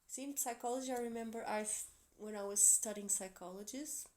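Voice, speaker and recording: A woman with a Portuguese accent, English not her first language, reads tarot in an earnest, encouraging way. Her pitch sits in the ordinary middle for a woman, and she drops into soft, quiet asides now and then. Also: fairly quick. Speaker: tarot reader. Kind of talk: tarot reading for a zodiac sign.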